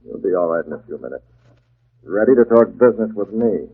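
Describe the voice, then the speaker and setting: An actor playing a masked vigilante posing as a menacing crime boss, commanding and clipped, in 1940s radio style. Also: male voice, lowish voice, animated, brisk, slightly rough. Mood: threatening, commanding. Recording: muffled, tinny 1940s radio recording.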